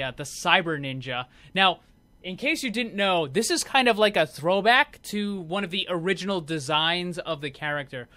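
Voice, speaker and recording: Bright, higher-voiced man presenting game cosmetics. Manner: informal, conversational, explanatory. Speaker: gaming video host. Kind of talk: casual video game showcase commentary.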